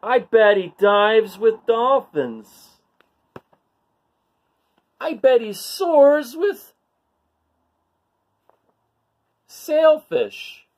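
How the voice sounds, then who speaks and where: A man voicing a bored, envious storybook barnacle with a deadpan, drawn-out, complaining delivery. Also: very high voice, voice pushed up, heavily acted. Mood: envious, sarcastic. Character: bored, grumbling, envious barnacle from a picture book. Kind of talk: storybook read-aloud.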